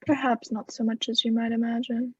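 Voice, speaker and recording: A woman acting a coolly scheming aristocrat in a radio play, formal and measured, feigning friendly concern. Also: on the high side, level tone, slightly rough, soft background music. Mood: sly, courteous. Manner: formal, measured, slyly solicitous. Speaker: student actor in a radio play. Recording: echoey, slightly muffled recording.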